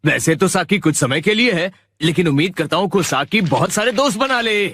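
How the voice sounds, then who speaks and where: A man's voice: high voice, animated, a little fast, fairly bright tone, slight background noise. A man playing a polite, well-meaning kindergarten official in a cartoon dub, with a courteous manner. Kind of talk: Hindi cartoon dub acting.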